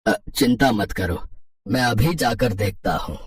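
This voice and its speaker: A man's voice: fairly low pitch, expressive, unhurried, far from the mic, slight background noise. An actor playing a kindly, protective middle-aged father in a cartoon moral story, hesitant yet reassuring. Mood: concerned.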